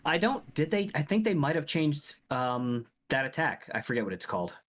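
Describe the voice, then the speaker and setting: A man's voice: a little high, big pitch swings, normal speed, fairly bright tone. A man hosting a casual gaming podcast, talking with frequent restarts and hedges. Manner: hesitant, restarts and hedges often. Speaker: podcast host.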